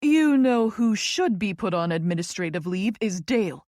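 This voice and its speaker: A woman voicing a smooth, emphatic, protective female senior boss in an audiobook. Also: on the high side, lively intonation, normal speed, darkish tone, dry recording, clean studio sound, natural voice. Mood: indignant. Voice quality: smooth alto. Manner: emphatic, dramatic.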